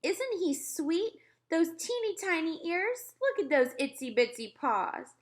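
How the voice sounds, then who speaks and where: Woman putting on an excitable, high-pitched young girl. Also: slow, exaggerated voice. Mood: gushing. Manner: pleading, gushing. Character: excitable, gushing young camp girls.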